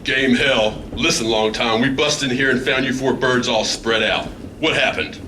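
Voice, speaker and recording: A man playing a gruff, excitable adventurer sidekick in an old-time radio play, with blunt, folksy exclamations. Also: medium pitch, moderate pace, natural voice. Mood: urgent. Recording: noisy live stage, distant microphone.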